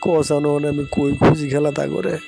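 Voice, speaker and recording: A man's voice: a little high, fairly even intonation, a little fast, gravelly, over loud music. A man voicing a distressed, helpless village father who laments in a wailing, repetitive way in a cartoon. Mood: anxious. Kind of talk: Bengali moral-story cartoon dialogue.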